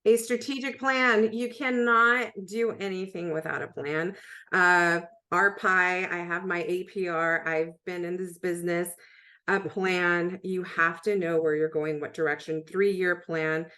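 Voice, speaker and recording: A woman's voice: lowish voice, fairly even intonation, measured pace, sharp tone. A woman answering questions as a panelist in a conference session, giving informal advice in a direct, emphatic way. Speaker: panelist, school district communications leader.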